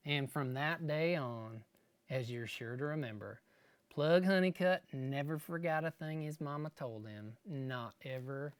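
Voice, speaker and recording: A folksy storyteller reads a children's tale at a slow, deliberate pace. The delivery is expressive, with dramatic emphasis, and the recording is close and dry. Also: mid-range voice, no echo. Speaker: storyteller reading aloud.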